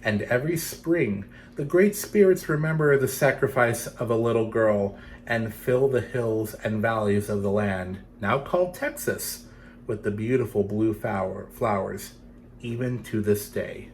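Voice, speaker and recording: A man's voice: lowish voice, expressive. Man reading a children's story, faint background noise. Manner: dramatic, deliberate phrasing, addressing young listeners. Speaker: storyteller for children's theatre series.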